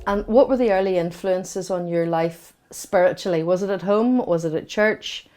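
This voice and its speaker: A woman's voice: on the low side, normal speed, dark tone, faint music behind. A woman, asking encouraging questions in an interview.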